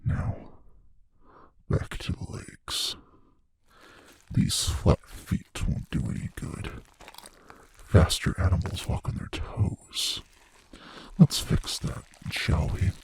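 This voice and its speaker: A man playing a gleefully cruel monster from under the bed in an intimate ASMR audio roleplay, taunting and menacing in a breathy, whispery voice. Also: close to an everyday voice. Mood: musing, sinister. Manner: slow, taunting, menacing, savoring words.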